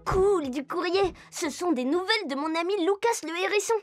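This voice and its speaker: A cheerful little cartoon girl with a high voice speaks over music. She is curious and bubbly, talking directly to young viewers as she sets off to meet animals. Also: animated, moderate pace. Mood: excited. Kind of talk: children's cartoon dialogue.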